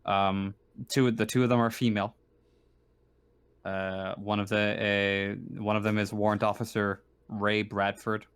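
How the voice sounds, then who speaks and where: A man running a tabletop roleplaying game as game master, thinking aloud in a halting way as he improvises. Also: lowish voice, flat delivery, fairly bright tone, clear, resonant tone, dry recording. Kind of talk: narrating and refereeing a tabletop game.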